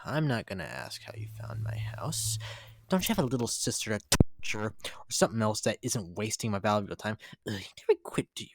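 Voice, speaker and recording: A man acts out a haughty prince of a pony in a dramatic comic reading. He sounds pompous and indignant, full of bored scorn. His pitch moves in lively swings, recorded dry. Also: no echo.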